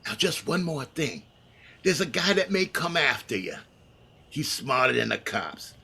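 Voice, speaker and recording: An actor playing a scheming, vengeful condemned gangster with tough slangy talk, in the manner of a 1930s radio crime drama. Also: male voice, medium pitch, very animated, unhurried, vocal fry, pushed and bright, slightly rough, exaggerated voice. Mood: coaxing, menacing.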